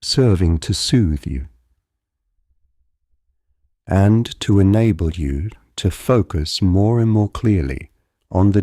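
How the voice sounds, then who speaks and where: Deep, slow, soothing male meditation guide. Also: no echo, studio quality. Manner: gentle, calm, deliberate, measured delivery. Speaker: guided visualisation narrator.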